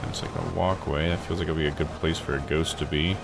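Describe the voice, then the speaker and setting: A man's voice: a bass voice, steady tone, fast, vocal fry, background noise. A man doing casual let's play commentary as a game streamer, thinking aloud in folksy, slangy phrases. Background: game music.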